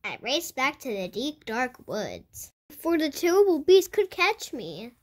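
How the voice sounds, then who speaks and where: A woman reading a picture book aloud to children in an animated storytelling style. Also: sits high, a little slow. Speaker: children's storybook reader and host. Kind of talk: animated read-aloud narration for kids.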